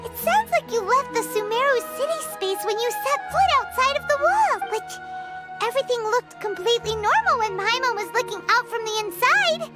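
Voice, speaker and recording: A woman voicing a tiny, excitable floating fairy companion who chatters and refers to herself by name, in video game cutscene dialogue. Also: very high voice, moderate pace. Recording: game audio with loud music behind.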